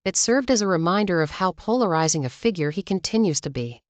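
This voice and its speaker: Low-pitched woman reading scripted news. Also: animated, fast talker, no echo. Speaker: news channel narrator.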